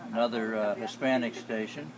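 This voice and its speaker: A man giving a casual, unscripted demonstration as a hobbyist, speaking in a plain, halting way. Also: lowish voice, steady tone, a crowd in the background, rough recording. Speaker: hobbyist radio restorer. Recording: clear background noise with hum.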